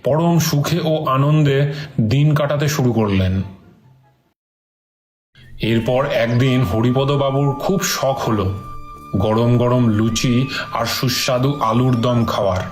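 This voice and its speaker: A man narrates a cartoon tale in a scripted storybook style. His voice is mid-pitched and reverberant, with music faintly behind it. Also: measured pace, long pauses. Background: background music.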